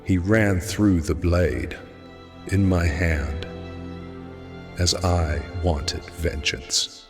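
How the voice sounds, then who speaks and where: An actor playing a guilt-ridden, despairing friar in an audio drama, grave and halting. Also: male voice, low-pitched. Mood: anguished confession. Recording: long reverb, music underneath. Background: music.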